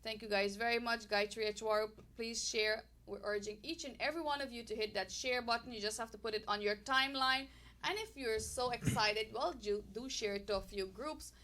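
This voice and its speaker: A woman's voice: fairly high pitch, brisk, pushed and bright. A woman with a Caribbean accent hosting a casual health talk show, greeting viewers and reading their comments in an upbeat way. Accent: Caribbean English.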